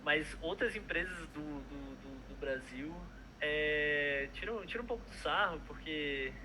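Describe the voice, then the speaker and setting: A man's voice: fairly high pitch, measured pace, background noise. A young man, a product manager chatting casually in an interview, hesitant with many fillers and restarts.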